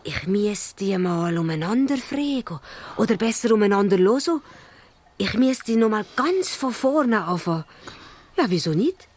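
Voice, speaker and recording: A woman playing a small, lively male dwarf who muses aloud and asks questions, with a Swiss German accent, in a children's radio play. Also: medium pitch, unhurried. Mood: musing, hopeful. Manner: musing aloud, asking questions.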